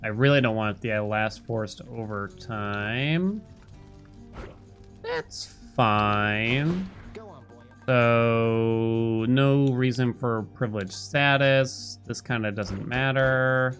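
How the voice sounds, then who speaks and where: A man's voice: medium pitch, very slow, fairly bright tone. Casual guy streaming over game music. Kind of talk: casual live gameplay commentary. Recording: music behind the voice.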